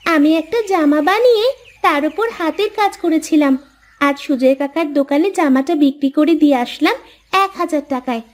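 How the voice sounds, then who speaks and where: A woman's voice: high voice, brisk, lightly acted. A woman voicing a young village farmer's daughter in a cartoon, earnest, caring and sweetly persuasive. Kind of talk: Bengali moral-story cartoon voice acting.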